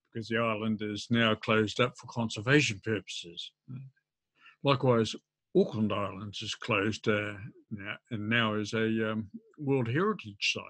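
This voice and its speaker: An older man, explaining informally to camera in a halting, hesitant way. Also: fairly low pitch, fairly bright tone, breathy edge, no echo. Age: older, around 70s. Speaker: amateur radio vlogger. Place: indoors at a desk.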